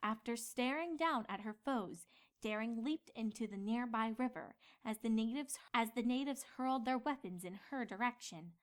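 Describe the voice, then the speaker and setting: A woman's voice: fairly high pitch, moderate pace, close to an everyday voice. A woman voicing an indignant, bookish young female pony who gets easily flustered in a comedic story reading. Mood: reading aloud. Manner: indignant, dramatic. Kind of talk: acted comedic fan fiction reading.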